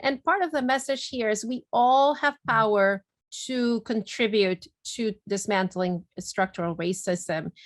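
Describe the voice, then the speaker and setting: A middle-aged woman from Guatemala talks earnestly on a video call, with frequent fillers and restarts. Her voice sits at an ordinary pitch, and the sound is dry and close. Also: no echo. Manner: earnest, many fillers and repeated words. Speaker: neurologist and webinar speaker. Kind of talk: webinar presentation and Q&A.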